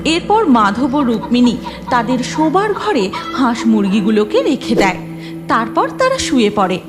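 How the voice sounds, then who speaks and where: A woman's voice: fairly high pitch, big pitch swings, moderate pace. A woman narrating a scripted cartoon story. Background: music.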